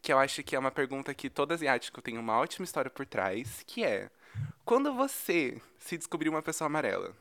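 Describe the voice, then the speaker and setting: A man's voice: mid-range voice, big pitch swings, quick pace, a bit bright. A man with a Brazilian accent hosting a podcast in a casual, chatty way, effusive and slangy, given to playful exaggeration. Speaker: podcast host.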